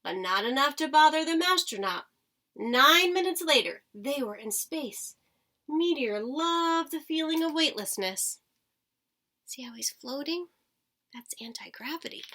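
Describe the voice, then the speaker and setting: Bright-voiced woman reading slowly to children. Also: sits high, animated. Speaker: teacher reading aloud. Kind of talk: expressive children's storybook read-aloud with short asides to listeners.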